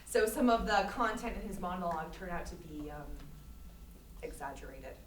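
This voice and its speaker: A woman's voice: fairly low pitch, lively intonation, normal speed, lightly acted. A woman playing an awkward, eager interviewer in a staged play reading, stammering and repeating words as she goes. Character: awkward, eager gallery interviewer hosting an artist talk. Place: live staged reading in echoey room. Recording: noisy echoey room, distant mic.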